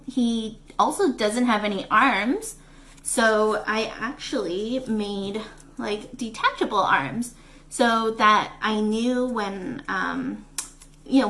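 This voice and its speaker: A woman presenting an informal step-by-step craft tutorial, talking in a conversational, hesitant and halting way. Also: medium pitch, a bit bright, slight background noise.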